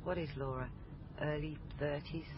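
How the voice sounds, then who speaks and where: An actress playing a persistent, matter-of-fact police family liaison officer in a naturalistic radio drama. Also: female voice, low-pitched, steady tone, normal speed, lightly acted. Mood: judgmental, matter-of-fact. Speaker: actor in radio crime drama. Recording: dull, noisy lo-fi radio recording.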